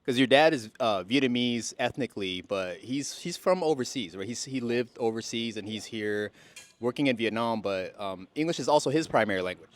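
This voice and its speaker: A man's voice: medium pitch, lively intonation, normal speed. A man interviewing a guest in a casual conversation, curious and asking follow-up questions. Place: in Vietnam.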